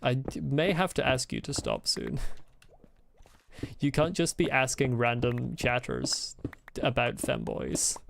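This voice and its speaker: A man from Scotland with a mild Scottish accent streams a game and rambles through replies to his chat with chuckles. His voice has a gravelly, creaky edge. His pitch moves up and down freely, with a faint hiss underneath. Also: sing-song, slow pace. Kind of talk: casual live-stream chat while gaming.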